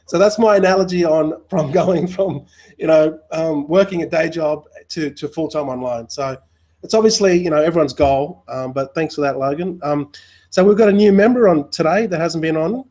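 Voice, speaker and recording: Guy hosting a webinar, slightly high voice, rambly with lots of uhs. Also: normal speed, darkish tone. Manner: rambling, many fillers, friendly. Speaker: webinar host. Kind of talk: casual live webinar hosting.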